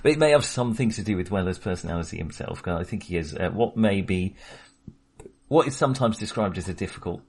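A man's voice: low-pitched, animated, quick pace, darkish tone, husky. A man co-hosting a casual film chat, talking hesitantly with many restarts. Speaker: podcast co-host.

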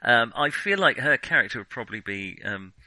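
A man's voice: medium pitch, big pitch swings, brisk, pushed and bright, no room sound, poor audio. A man co-hosting a casual film discussion, talking in a hesitant, conversational way. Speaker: film podcast co-host.

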